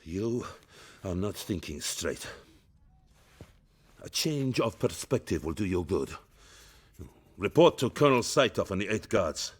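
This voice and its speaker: Curt soviet superior officer, commanding, voice swings up and down. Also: male voice, sits low, big pitch swings, a little slow, pauses often, husky, exaggerated voice. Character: stern, dismissive male Soviet superior officer.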